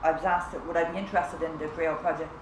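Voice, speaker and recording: A woman's voice: low-pitched, level tone, quick pace. A woman and mother of three, a peer support worker, chatting casually about her project work. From Ireland. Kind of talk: recorded testimony. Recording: echoey room with clear background noise.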